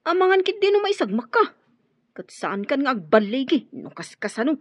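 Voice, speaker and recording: A woman's voice: high voice, big pitch swings, brisk. A woman playing a wary, level-headed accomplice with an Ilocano accent in a radio drama, cautioning as she speaks. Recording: dull, dry radio drama audio.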